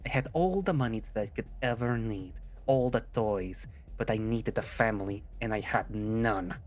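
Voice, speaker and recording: A man's voice: lowish voice, normal speed, close to an everyday voice. Man doing a pompous chameleon villain, mock French accent. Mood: wounded, brooding. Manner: pompous, melodramatic. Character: bitter, theatrical chameleon villain, wounded and vain.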